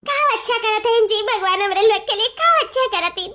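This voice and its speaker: पूर्वी उत्तर प्रदेश के लहजे में एक औरत कार्टून की दुखियारी देहाती बहू का किरदार निभा रही है, जो बिसूरते हुए अपनी बात दोहराती है।. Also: female voice, very high voice, brisk, muffled recording, noisy background. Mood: bitter, tearful. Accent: eastern Uttar Pradesh. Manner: plaintive, wailing, repetitive lamenting. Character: tearful, aggrieved village daughter-in-law in a cartoon. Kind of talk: melodramatic comedy cartoon dialogue.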